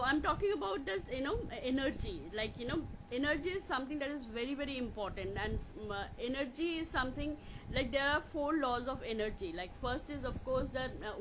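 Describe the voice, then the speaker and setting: Casting: woman with Indian accent, slightly high voice, live-streaming coach, halting and repetitive informal talk, faint noise behind. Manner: repetitive, halting with frequent "you know". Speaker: life coach hosting a live question session. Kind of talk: informal livestream talk to viewers.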